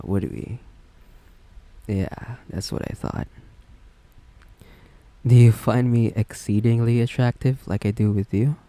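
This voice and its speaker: A man's voice: lowish voice, warm tone, no echo, close to an everyday voice. Man acting as smug, sardonic supervillain date, dry close sound. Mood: smug, confiding. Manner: chatty, sardonic, self-assured. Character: smug, flirty businessman supervillain on a blind date. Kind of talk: romantic roleplay audio drama, conversational.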